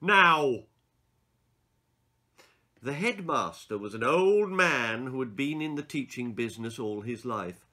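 A man in his sixties reading a children's story aloud in an expressive, theatrical and emphatic way. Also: medium pitch, moderate pace, few pauses. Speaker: author reading his own children's stories. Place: small book-lined room indoors.

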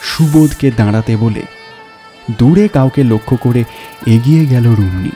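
Male story narrator with a mellow voice. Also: medium pitch, moderate pace. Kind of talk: dramatic scripted story narration. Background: background music.

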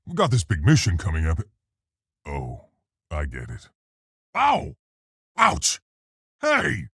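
A large, brave gorilla scientist in a video game. The voice is very husky and gruff, with a gravelly edge. He speaks in earnest, articulate, unhurried phrases, polite yet heroic. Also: sits low, wildly swooping pitch, fairly bright tone, no room sound, studio quality, heavily acted. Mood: calm, awkward, then agitated, shouting. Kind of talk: video game character voice lines.

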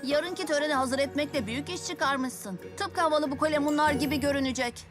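A woman voicing a spirited young girl superhero in a cartoon dub, expressive and exclamatory. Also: high voice, fairly quick, natural voice. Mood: admiring. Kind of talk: animated cartoon dub acting. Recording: loud music behind the voice.

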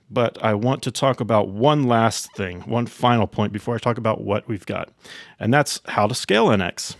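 Male presenter, thirties, low voice, dry close-mic sound, tech keynote delivery. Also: dry recording. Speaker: company co-founder giving keynote. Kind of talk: conference product presentation. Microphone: microphone close in front of mouth.

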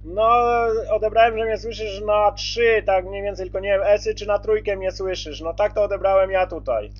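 A man's voice: high voice, moderate pace, lo-fi sound, slight background noise. A man talking casually as a CB radio operator, repeating himself as he checks and confirms reception. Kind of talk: casual radio contact talk.

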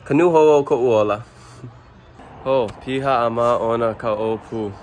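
A man's voice: medium pitch, measured pace, darkish tone, slight background noise. A young man from the Big Island of Hawaii with a Hawaiian accent, chatting casually into a phone held at arm's length outdoors. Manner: easygoing, chatty, laughs between lines. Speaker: vlogger. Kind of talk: casual vlog, switching into Hawaiian. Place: outdoors in a city, by a car park.

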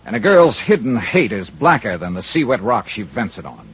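A man's voice: fairly low pitch, sing-song, normal speed, fairly bright tone, husky, exaggerated voice. A man with an American accent playing a tough, impatient insurance investigator in a 1950s radio drama, clipped and hard-boiled. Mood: dramatic, dry. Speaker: star of radio detective serial. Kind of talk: 1950s radio drama acting and first-person narration. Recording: muffled old radio recording with music.

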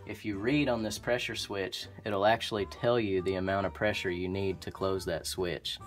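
A man with an Oklahoma accent, an HVAC technician explaining furnace repair in a relaxed, practical way, with frequent ums and restarts. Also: low voice, moderate pace, soft background music. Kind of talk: instructional how-to explanation. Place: indoor utility closet by a furnace.

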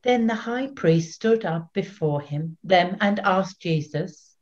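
An older woman reading scripture as narrator in a deliberate, solemn, scripted manner. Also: lowish voice, expressive, darkish tone, dull audio. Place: small plain room at home.

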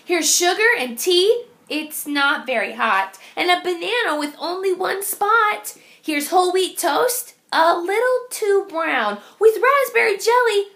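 An eager little storybook child, voiced by a woman, pitched very high. The child exclaims cheerfully in a sing-song lilt, proudly serving up each phrase at an unhurried pace. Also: lively intonation, a bit bright, heavily acted. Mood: excited, cheerful.

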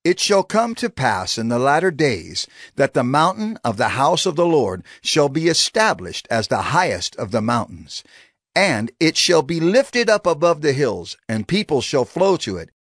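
A man's voice: on the high side, very animated, normal speed, fairly bright tone, no echo, clean studio sound. A man giving a dramatized, solemn reading of scripture as an audio Bible narrator, declamatory and emphatic.

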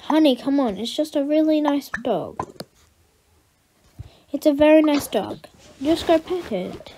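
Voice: high voice, animated, measured pace, mellow, slight background noise. A child voicing a patient mother who coaxes her scared daughter, in a pretend play skit. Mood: coaxing.

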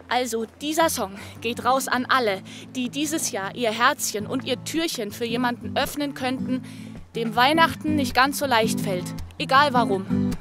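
A woman's voice: fairly high pitch, a bit bright, close to an everyday voice. An actress playing a wry, tender-hearted, playful woman talking casually in an audio drama. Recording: noisy ambient location sound.

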